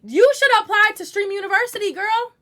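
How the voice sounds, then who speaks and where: Young woman streamer, twenties, high bright voice, playful chatty interviewer. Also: lively intonation, a little fast. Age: 20s. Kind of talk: casual interview chat.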